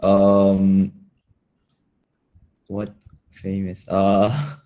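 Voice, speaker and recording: A man's voice: low voice, monotone, extremely slow, highs cut off. A young adult man whose first language is Japanese, answering as a student over a laptop video call, hesitant and apologetic.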